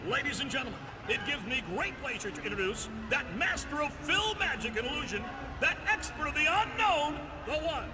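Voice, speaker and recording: An actor playing a bombastic arena announcer in a video game, a showman introducing with theatrical, drawn-out emphasis. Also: extremely high pitch, lively intonation, distant mic, noisy background. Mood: grandiose. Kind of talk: grand event announcing. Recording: echoing arena PA, loud music.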